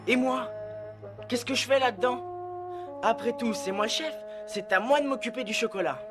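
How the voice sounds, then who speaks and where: A woman's voice: fairly high pitch, moderate pace, natural voice. A woman voicing a bossy, wisecracking cartoon schoolboy who talks in a cocky, chatty way over music. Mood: bossy, upbeat. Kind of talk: cartoon voice acting, French dub. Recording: music under the voice.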